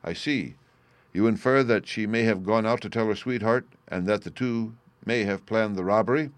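Casting: shrewd gentleman sleuth, voiced by a male reader, courteous, probing, authoritative, brisk manner, dry close recording. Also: fairly low pitch, dry recording, voice pushed up, close to an everyday voice.

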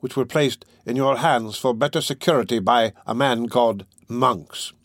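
A solemn older gentleman , voiced by a male audiobook reader. He is formal and earnest, urging in measured, firm phrases. The recording is close and dry. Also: medium pitch, no echo, natural voice. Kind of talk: dramatic audiobook character reading.

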